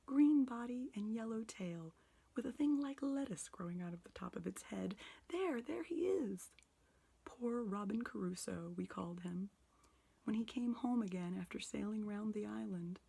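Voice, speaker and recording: A woman's voice: medium pitch, animated, drawn-out words, gravelly, few pauses, darkish tone, slightly rough, voice pushed up, heavily acted. Old miser man, whispered, giddy and tearful, read by a woman. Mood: excited. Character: old miser man overcome with childlike excitement.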